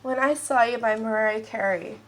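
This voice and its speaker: A woman's voice: fairly high pitch, normal speed, a bit bright, poor audio. A woman with a stuffed-up nose giving a casual, rambling pick-a-card tarot reading.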